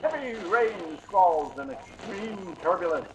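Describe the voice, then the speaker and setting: Male emergency radio announcer acted: grave, emphatic, slow-paced, noisy recording. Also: sits high, very animated. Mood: urgent, solemn. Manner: deliberate, solemn, emphatic. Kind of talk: old-time radio bulletin. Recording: clear background noise on the recording.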